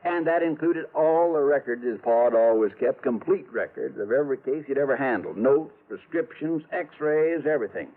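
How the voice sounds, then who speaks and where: An actor playing a folksy, excitable small-town insurance man in an old-time radio drama. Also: mid-range voice, moderate pace, hardly any pauses, lightly acted. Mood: explanatory. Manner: folksy, colloquial, emphatic. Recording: tinny, noisy vintage radio recording.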